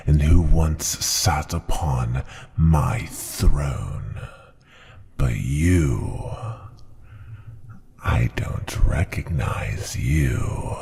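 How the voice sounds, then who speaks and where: A man's voice: dark tone, exaggerated voice. A man voicing an ancient, tyrannical dragon ruler who scorns humans, speaking in a pompous, menacing growl for an ASMR roleplay. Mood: haughty, menacing, then suspicious, threatening. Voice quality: deep gravelly growl, breathy at times. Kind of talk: ASMR roleplay, slow theatrical monologue.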